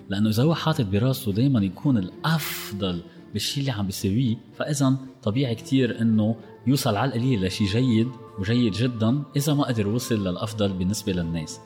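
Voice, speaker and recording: Rapid Lebanese man urging, music under. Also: medium pitch, animated, darkish tone. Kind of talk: motivational podcast talk. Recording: reverberant room with faint music.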